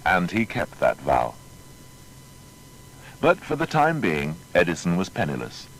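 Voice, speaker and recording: A man's voice: huge pitch swings, a little slow, gravelly, low-quality recording. A man narrating a scripted biographical documentary in a formal, dramatic storytelling manner. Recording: faint noise and low rumble.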